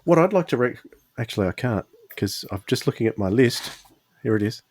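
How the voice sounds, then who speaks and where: A man's voice: mid-range voice, lively intonation, fairly quick, darkish tone. A man hosting a podcast in a casual, conversational interview style. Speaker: podcast host.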